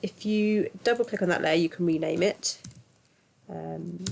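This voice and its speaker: A woman with a stuffy, bunged-up voice from a cold, talking casually through a software tutorial with lots of ums. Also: on the low side, unhurried, vocal fry, a little hiss or hum. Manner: chatty, self-deprecating, filler-heavy. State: congested from a cold. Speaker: tutorial host.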